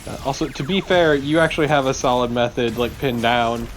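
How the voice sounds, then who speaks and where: A man's voice: mid-range voice, brisk, soft background music. A man co-commentating on a video game in casual, informal chat with a sweary streak.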